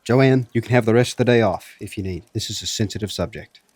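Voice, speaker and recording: A man playing a courteous, measured late-night soul radio DJ in a radio drama. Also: on the low side, darkish tone, dry recording, lightly acted. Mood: polite, concerned.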